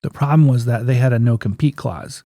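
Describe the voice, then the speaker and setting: A man's voice: mid-range voice, brisk, mellow, no echo, studio quality. A man in his fifties telling stories casually as an interview guest, in a folksy, plain-spoken way, often quoting remembered conversations. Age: 50s. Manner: anecdotal, acts out remembered dialogue, folksy plain-spoken phrasing. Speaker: guest, physical therapist and clinic owner.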